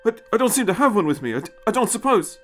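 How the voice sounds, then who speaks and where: A man's voice: high voice, very animated, quick pace, fairly bright tone, soft background music, voice pushed up. A man voicing an eager young male space traveller who bluffs politely with a stammering, nervous, impatient manner. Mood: flustered.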